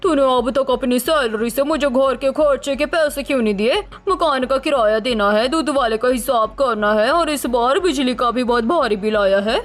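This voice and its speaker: A woman's voice: high-pitched, moderate pace, few pauses, close to an everyday voice. A woman playing a worried, money-minded middle-aged mother-in-law in a cartoon family melodrama, speaking in a fretful, lamenting way. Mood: demanding.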